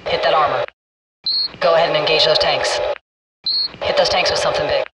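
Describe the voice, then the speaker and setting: An actor playing a businesslike military gunship fire control officer, giving clipped, commanding radio callouts in a video game. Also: male voice, on the high side, steady tone, fairly quick, close to an everyday voice. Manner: terse, commanding. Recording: distant military radio, loud aircraft cabin noise.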